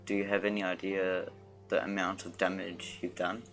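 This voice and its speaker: A male game streamer reading dialogue choices aloud in a halting way. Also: sits low, little pitch movement, a bit bright, faint music behind.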